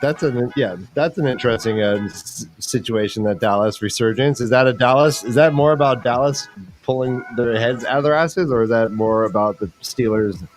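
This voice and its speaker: A man's voice: mid-range voice, normal speed, slight background noise, poor audio. A man hosting a fantasy football show in casual, jokey sports chat. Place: online livestream group call.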